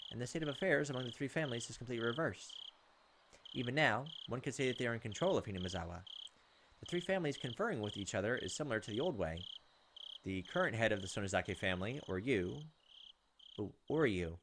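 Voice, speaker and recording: A man's voice: medium pitch, a bit bright, a little hiss or hum. A man reading visual novel text aloud as a let's play host, moving between narration and casual asides. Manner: pauses to comment on the text.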